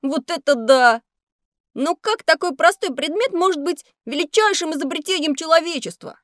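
A woman's voice: very high-pitched, lively intonation, moderate pace, no echo, clean studio sound. A woman playing a curious, eager little schoolboy in a children's educational audio play. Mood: amazed. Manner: eager, wondering, chatty.

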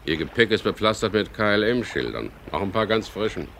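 An actor playing a dry, businesslike skipper in a radio crime drama, with a gravelly voice. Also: male voice, lowish voice, big pitch swings, normal speed, a bit bright, a little hiss or hum. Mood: firm, bargaining. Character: wary, businesslike skipper of a chartered sailboat, also narrating. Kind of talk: 1970s German radio crime drama, narration and dialogue.

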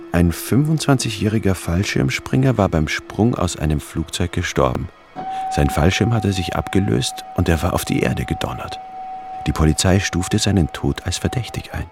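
An actor playing a brooding, introspective office anthropologist who narrates a radio drama in a measured way. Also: male voice, low voice, a little mellow. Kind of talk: scripted first-person radio drama narration.

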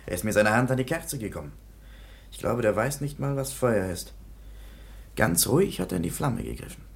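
An actor playing a calm, observant male police clerk in a radio play. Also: medium pitch, brisk, creaky.

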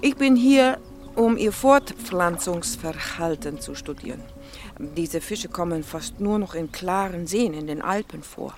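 Flirtatious Slovak woman biologist, low-pitched, unhurried, teasing, halting, dramatic dialogue, music underneath. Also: expressive, a little mellow. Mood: earnest. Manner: teasing, halting word searches. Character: playful, flirtatious Slovak woman biologist studying lake fish.

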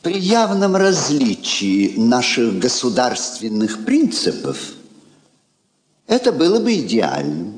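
An actor playing a haughty, ironic king in a classic radio drama, speaking in a pompous, theatrical, deliberate manner. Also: mid-range voice, wildly swooping pitch, slight background noise. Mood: suave irony. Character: haughty, ironic, courtly king receiving a diplomat. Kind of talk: classic Russian stage radio drama acting. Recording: echoey theatre-like hall, old recording.

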